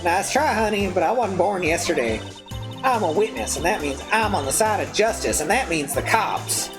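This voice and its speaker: Folksy, boastful young Southern woman for a mystery game, played by a woman; animated intonation, easily offended, music behind. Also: lowish voice, moderate pace, natural voice. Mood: sassy, defiant. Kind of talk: voiced read-through of a mystery game.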